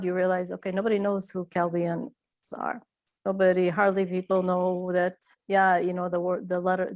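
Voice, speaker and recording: A woman's voice: on the low side, darkish tone. A middle-aged woman from Iraq, an author answering interview questions in a reflective way with frequent hesitations.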